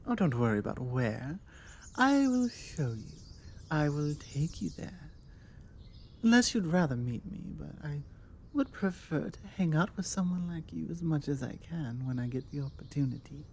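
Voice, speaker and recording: A woman with a British accent playing a forward, confident female vampire in an intimate ASMR roleplay, teasing and coaxing with deliberate pauses. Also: deep voice, a little hiss or hum. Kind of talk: ASMR roleplay, intimate one-sided conversation. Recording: steady outdoor ambience with birdsong. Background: birdsong and outdoor ambience.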